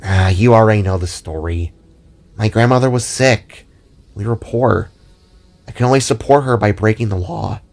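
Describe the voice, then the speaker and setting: Guy doing a little female frog vigilante, cheeky and warm, casual talk, audio drama w/ music. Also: on the low side, moderate pace, vocal fry. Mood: sad, grateful. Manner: teasing, informal, affectionate. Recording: music under the voice.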